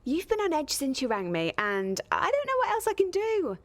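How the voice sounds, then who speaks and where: Sardonic young woman, high-pitched and very animated, radio drama. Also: moderate pace. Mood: defensive, sarcastic. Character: sarcastic, quick-witted young woman shop worker. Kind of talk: radio soap acting.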